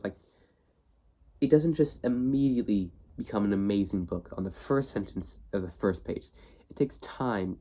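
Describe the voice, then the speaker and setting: Low-voiced young man with a cold. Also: mellow. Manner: rambling, many fillers and restarts. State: has a cold, sniffly. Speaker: book video host. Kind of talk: casual talk to camera.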